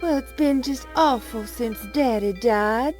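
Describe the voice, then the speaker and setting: A woman's voice: fairly high pitch, unhurried, darkish tone, faint music behind, close to an everyday voice. A woman playing a haughty, self-pitying young heiress in an audio soap drama. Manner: petulant, drawn out.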